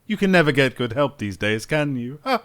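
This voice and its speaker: A man voicing an obsequious noble father who flatters and stammers. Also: mid-range voice, fairly quick.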